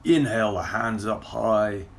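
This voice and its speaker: Casting: young male movement coach, deep gravelly voice, steady breath cues, faint hiss behind. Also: unhurried. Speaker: yoga and movement instructor. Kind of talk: guided workout instruction. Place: indoor car park with brick wall. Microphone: far camera.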